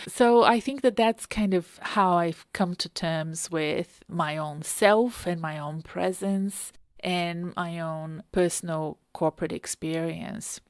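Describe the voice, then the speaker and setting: A woman's voice: fairly low pitch, dry recording, studio quality. A woman hosting a podcast as a career coach, talking casually and conversationally in a self-aware, anecdotal way. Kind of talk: casual solo podcast talk.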